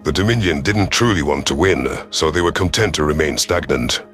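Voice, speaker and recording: A man voicing a gruff, proud male reptilian alien rebel commander in first-person story narration, stern and sardonic. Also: extremely low pitch, fairly quick, fairly bright tone, soft background music. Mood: stern, measured.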